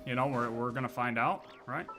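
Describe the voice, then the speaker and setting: A man's voice: mid-range voice, a little fast. A man giving casual, rambling livestream gameplay commentary, often questioning and answering himself. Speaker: game streamer. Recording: game music behind voice.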